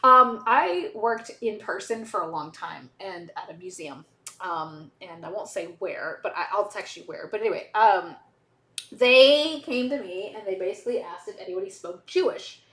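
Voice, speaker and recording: Chatty woman, animated delivery. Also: mid-range voice, normal speed. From Southern United States. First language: Hebrew. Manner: rambling, chatty, lots of restarts and asides. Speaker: livestream host. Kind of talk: casual livestream chat.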